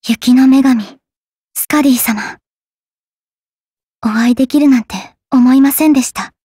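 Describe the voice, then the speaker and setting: A woman's voice: sits high, measured pace, warm tone, slightly rough, no echo, clean studio sound. A woman playing an earnest, reserved young valkyrie in an anime game, speaking hesitantly. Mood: puzzled. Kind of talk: anime mobile game voice acting.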